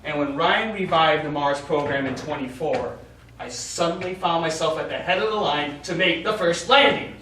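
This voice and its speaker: An actor playing an eager, dreamy American astronaut in a stage play, with a dramatic and emphatic delivery. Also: male voice, on the high side, normal speed, bright, forceful tone. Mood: wistful, excited. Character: eager American male astronaut, dreamy, joking mission leader. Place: small studio theatre, recorded from afar. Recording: very echoey, noisy, distant stage recording.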